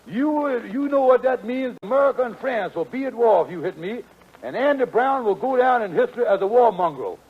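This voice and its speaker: An actor playing a scheming, fast-talking conman in a 1940s radio comedy, with pompous, mangled big words in folksy dialect. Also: extremely high pitch, lively intonation, background noise. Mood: pompous bluffing. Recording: tinny, band-limited 1940s radio broadcast.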